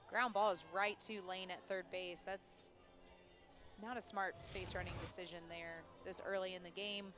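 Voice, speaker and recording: A woman working as a color commentator, giving live softball analysis in a conversational, explanatory way. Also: mid-range voice. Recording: music and crowd behind, outdoors. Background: music, ballpark crowd.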